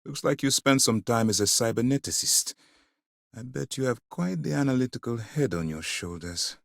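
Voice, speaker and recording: An actor playing a stern, principled military commander in a video game, speaking with formal, measured authority. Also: male voice, mid-range voice, expressive, creaky, dry recording, studio quality. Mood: measured, businesslike. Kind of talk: acted game dialogue.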